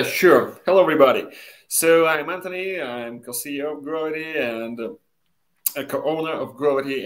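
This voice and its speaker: A man's voice: medium pitch, fairly bright tone. Male guest, 40s, straightforward and friendly, video call audio. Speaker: guest, company co-CEO. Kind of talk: introducing himself on a video call.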